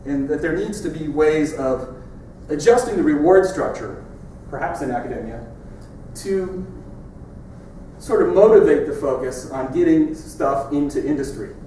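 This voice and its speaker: A middle-aged man speaks to a conference crowd in a big lecture hall, his voice a touch high for a man. He talks in a loose, wry, conversational way, as if chatting with the audience. He is picked up from far off, with the hall ringing heavily behind every word. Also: animated, measured pace, mellow, a little hiss or hum. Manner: conversational, wry, pointing at slides. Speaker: keynote speaker.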